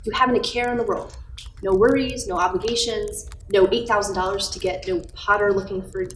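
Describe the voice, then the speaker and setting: A woman's voice: mid-range voice, steady tone, a little fast, fairly bright tone, slight background noise, lightly acted. Woman acting a fussy, earnest angel in echoey room. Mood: insistent. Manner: fussy, chatty, innocent. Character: earnest, childlike second-class guardian angel without wings. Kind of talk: amateur audio-only radio play. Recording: roomy echo with music behind.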